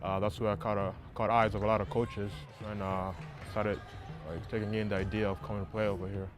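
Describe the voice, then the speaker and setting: A young man from South Sudan, a college basketball player, answering interview questions haltingly with many fillers, on a lapel mic in a dark empty arena. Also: on the low side, little pitch movement, music in the background. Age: young adult.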